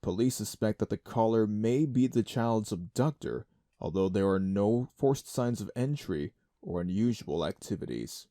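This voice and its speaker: A man playing a serious TV news anchor, reading scripted reports in a measured, formal way. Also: on the low side, expressive, mellow, no room sound, studio quality. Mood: grave. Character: grave male TV news anchor reporting disappearances.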